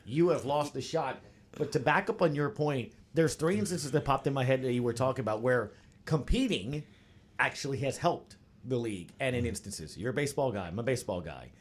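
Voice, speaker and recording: A man's voice: mid-range voice, animated, brisk. A man in a sports roundtable discussion, making emphatic points with rhetorical questions. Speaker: sports radio commentator.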